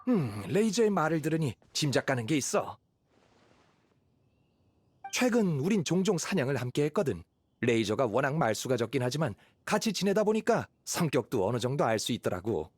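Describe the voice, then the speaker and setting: An actor playing a kindly, fatherly middle-aged hunter in an anime game, speaking in a measured, reassuring way. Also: medium pitch, sing-song. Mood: concerned, reflective.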